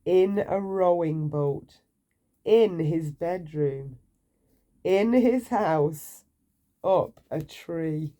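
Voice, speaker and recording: Slow, mellow woman reading a bedtime story. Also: fairly low pitch. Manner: playful, asks the listener questions. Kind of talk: read-aloud bedtime story narration.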